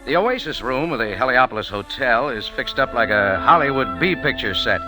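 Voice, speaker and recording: A man's voice: mid-range voice, lively intonation, moderate pace, natural voice, rough recording. An actor playing a wry, tough American cafe owner and sleuth, telling his story in punchy hardboiled radio-drama style. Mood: wry, watchful. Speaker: lead character and narrator. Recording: old radio recording with background music.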